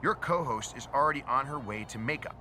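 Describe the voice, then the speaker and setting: A man's voice: mid-range voice, fast talker, bright, forceful tone, a little hiss or hum. A man playing a slick, self-assured showbiz promoter in a video game, talking emphatically and persuasively. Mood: persuasive, sly. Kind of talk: scripted video game cutscene acting.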